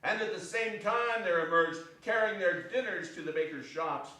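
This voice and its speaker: A man narrating a classic story as a theatrical, expressive, declamatory dramatic reading. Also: sits high, moderate pace. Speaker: stage reader, narrator. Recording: echoey hall from far off, faint noise.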